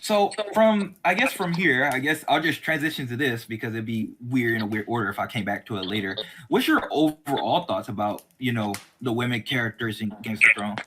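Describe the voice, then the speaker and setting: A man's voice: medium pitch, fairly quick, few pauses, sharp tone, a little hiss or hum. A man hosting a casual chat about a TV series, talking in an informal, rambling, conversational way. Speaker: host.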